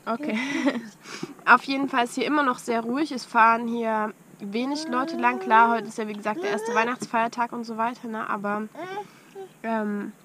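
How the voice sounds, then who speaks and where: A woman's voice: a little high, normal speed, crowd noise. A woman, chatting casually to a camera inside a small camper van. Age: 20s. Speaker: travel vlogger. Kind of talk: casual vlog chat to camera. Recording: very noisy camera audio with clicks.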